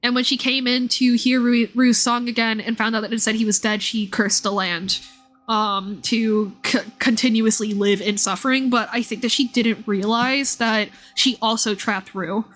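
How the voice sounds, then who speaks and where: Woman streamer, bright slightly high voice, chatty, explanatory, casual live recap, music behind. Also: fairly even intonation, moderate pace.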